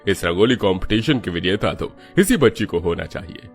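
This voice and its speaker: A man's voice: low voice, sing-song, normal speed, soft background music. A man voicing a stern but fair school principal, authoritative and emphatic, in a cartoon moral story. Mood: amazed.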